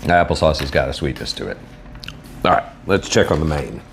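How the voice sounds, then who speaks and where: A man with a Southern American accent casually reviewing food on camera in a relaxed, rambling way. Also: rumbling low, brisk, gravelly, noisy background. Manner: laid-back, rambling, self-deprecating. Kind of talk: casual solo video review.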